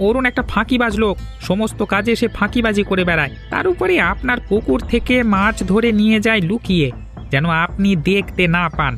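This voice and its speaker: A man's voice: high-pitched, expressive, a little fast, a bit bright, soft background music. A man voicing a sly, sycophantic farmhand in a cartoon, ingratiating and insistent as he tells tales. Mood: accusing. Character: sly, lying male farmhand, sycophantic tattletale. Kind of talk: cartoon dialogue.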